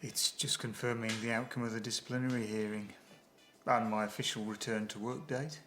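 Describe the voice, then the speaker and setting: A man's voice: on the low side, level tone, a little fast, music in the background, lightly acted. An actor playing a subdued, withdrawn middle-aged father in a naturalistic film drama, speaking in short, hesitant replies. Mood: subdued, distracted. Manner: hesitant, terse. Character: subdued, withdrawn father returning to work after suspension. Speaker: actor in a workplace safety drama.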